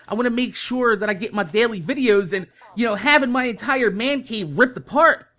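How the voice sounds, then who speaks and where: A man in his thirties telling a casual, exasperated story with heavy swearing, in the animated manner of a gaming YouTuber. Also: high voice. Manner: animated, heavily profane, exasperated.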